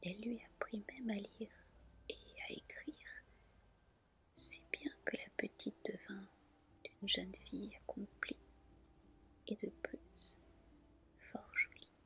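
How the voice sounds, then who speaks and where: A woman reading a fairy tale as an ASMR storyteller, in a gentle, soft, deliberate whisper. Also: mid-range voice, steady tone.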